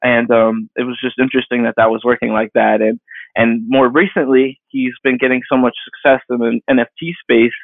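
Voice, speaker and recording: Man, 30s, gravelly voice, casual and rambling with lots of restarts; thin, phone-like sound. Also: mid-range voice, fairly even intonation, dry recording. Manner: rambling, halting, with many fillers and restarts. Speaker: digital artist guest. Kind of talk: casual interview answers. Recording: dry tinny phone-like line.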